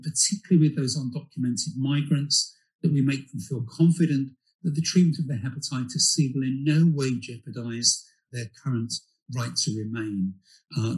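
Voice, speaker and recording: A man's voice: mid-range voice, poor audio. A man, a clinician, giving an earnest and emphatic medical presentation with occasional fillers.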